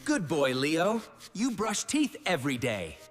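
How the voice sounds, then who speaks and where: A woman putting on a deep, soothing cartoon dad. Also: sing-song, measured pace, big-room sound, soft background music. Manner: soothing, short simple sentences. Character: gentle reassuring cartoon father.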